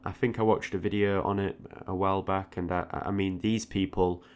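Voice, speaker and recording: A man's voice: deep voice, flat delivery, moderate pace, clear, resonant tone. A man giving an informal, reflective audio log, often repeating words hesitantly as he goes. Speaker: art tutorial creator, channel host.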